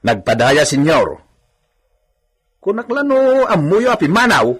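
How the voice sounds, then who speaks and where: Radio drama henchman: obsequious, husky, sing-song swings in pitch, eager to please his master. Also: sing-song, measured pace, fairly bright tone, heavily acted. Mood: hesitant. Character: deferential, fawning male servant of a rich landowner.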